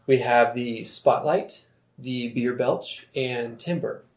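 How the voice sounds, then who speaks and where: A man narrating as game master, describing scenes in a relaxed way with many pauses and fillers. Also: mid-range voice. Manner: relaxed, many ums and uhs. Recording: echoey room with faint noise.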